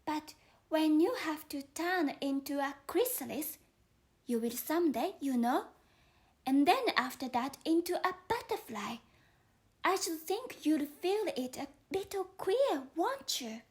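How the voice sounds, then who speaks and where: Woman acting out a polite storybook girl, very high voice, earnest, puzzled, slow careful speech. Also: big pitch swings.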